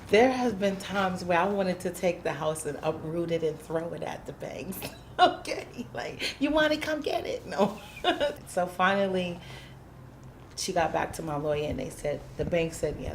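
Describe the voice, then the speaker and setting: A woman's voice: fairly low pitch, normal speed, a little hiss or hum. A woman, a community housing activist, telling her story candidly in an interview, emphatic and plain-spoken, acting out what people said.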